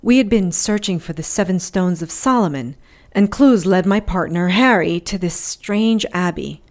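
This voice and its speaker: A woman's voice: fairly low pitch, normal speed, a little mellow, natural voice. A woman playing a tough, wounded adventurer in an audio drama, telling her story in a wry, sardonic, colloquial way. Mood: wry storytelling.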